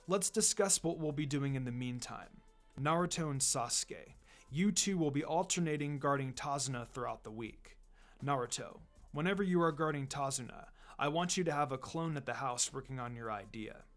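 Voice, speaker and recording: A man voicing a calm, firm, encouraging adult ninja teacher with a measured, instructive delivery in a story reading. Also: mid-range voice, pitched below the performer's own voice, lightly acted. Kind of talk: fan fiction reading with character voices. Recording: music behind the voice.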